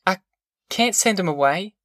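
An anxious, poor country mother, as an audiobook narrator acts her out, pitched well above the narration. The rural Southern American accent is folksy and plain, with a pleading, worn-down manner. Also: lively intonation, a little slow, fairly bright tone, dry recording, studio quality. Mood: helpless, pleading.

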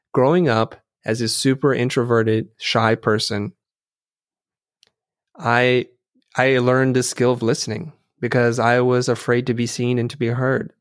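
A man's voice: mid-range voice, no echo, studio quality. A middle-aged man whose first language is Vietnamese, telling stories earnestly and thoughtfully as an interview guest, often restarting his sentences. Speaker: interview guest, designer and teacher. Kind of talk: reflective storytelling in conversation.

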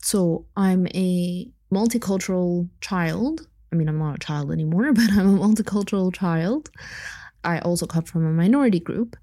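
Thoughtful woman podcaster, mellow low voice. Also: moderate pace. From Egypt. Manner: thoughtful, with light laughs and asides. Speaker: podcast host. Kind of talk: reflective solo podcast talk.

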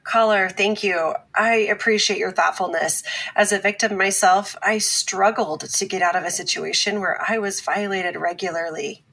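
A woman playing an earnest, firm survivor advocate on a talk show in a fiction podcast, speaking in a measured, deliberate way. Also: medium pitch, fairly even intonation, a bit bright, slightly rough. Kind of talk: scripted fiction podcast acting.

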